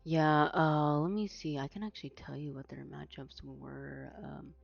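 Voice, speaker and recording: A woman's voice: deep voice, steady tone, unhurried, vocal fry, muffled recording. A woman whose first language is Mandarin, casting an esports tournament in casual chat, rambling with many fillers and restarts. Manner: rambling, self-correcting, frequent fillers. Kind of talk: casual post-match chat.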